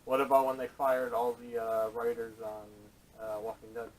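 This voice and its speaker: A man's voice: mid-range voice, little pitch movement, normal speed. A man chatting casually on a podcast in a blunt, opinionated way, recorded through a distant camera microphone. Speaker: podcast panelist. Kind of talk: casual chat. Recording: distant camera audio with noise.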